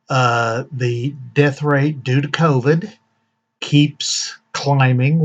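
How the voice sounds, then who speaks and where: A man hosting his own channel, giving casual news commentary in a slow, deliberate way with fillers and long pauses. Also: mid-range voice, lo-fi sound. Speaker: vlog host.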